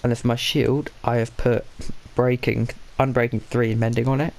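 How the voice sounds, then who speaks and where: A man with a stuffy, blocked nose talks his way through a game session in a loose, rambling way. He often stops mid-sentence to correct himself and mutters little asides to himself. His voice sits at an ordinary pitch and has a gravelly edge. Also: flat delivery, moderate pace, a little mellow. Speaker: gaming channel host. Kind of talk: casual gameplay commentary.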